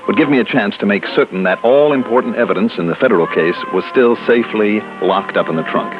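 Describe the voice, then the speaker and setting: An actor playing a breezy, wisecracking American insurance investigator in a punchy, theatrical old-time radio style. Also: male voice, fairly low pitch, fast talker, natural voice. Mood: musing, confiding. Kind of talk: mid-century American radio detective acting. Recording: dull old radio recording, music behind.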